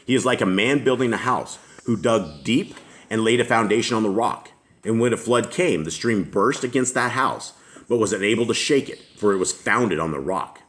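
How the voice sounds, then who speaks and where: A middle-aged man with a deep voice, leading a home Bible study, reading scripture aloud slowly and asking questions. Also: expressive, fairly bright tone.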